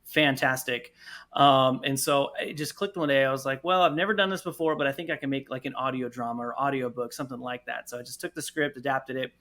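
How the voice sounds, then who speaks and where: Interview guest: man, bright medium-pitched voice, enthusiastic, casual conversational delivery. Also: fairly even intonation, brisk. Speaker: podcast guest, audio drama producer.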